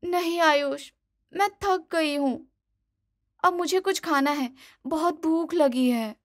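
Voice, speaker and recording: A woman plays a young bride in a story cartoon, high and girlish in pitch. She is stubborn and playful, coaxing her way to what she wants. The recording is dry and close. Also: takes it slowly, no echo. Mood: playful insistence. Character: stubborn, playful young newlywed wife who loves corn. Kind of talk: animated Hindi moral-story dubbing.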